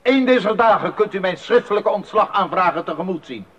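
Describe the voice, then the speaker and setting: Defiant court organist, high voice, sweeping intonation, formal, radio drama. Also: male voice, expressive, a little fast, a bit bright. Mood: defiant, indignant. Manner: formal, indignant, declamatory. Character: proud, defiant male court musician standing up to his lord. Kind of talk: 1980s radio play acting. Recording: dull, band-limited 1980s radio recording.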